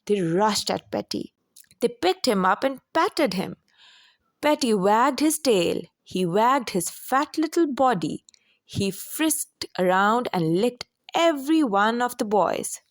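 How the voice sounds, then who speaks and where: A woman reading a children's story aloud as a storyteller, with expressive, deliberate delivery. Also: fairly high pitch, no echo, clean studio sound.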